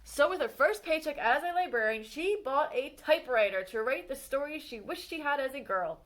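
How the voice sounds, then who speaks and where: A woman librarian reading a picture book biography aloud for children, with expressive storytime delivery that slows down on exciting moments. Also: high voice.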